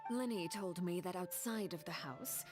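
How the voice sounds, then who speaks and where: A woman playing a composed, commanding leader of a family of orphans in a video game, speaking formally and with measured, precise words. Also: on the low side, close to an everyday voice. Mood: grave, composed. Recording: music behind the voice.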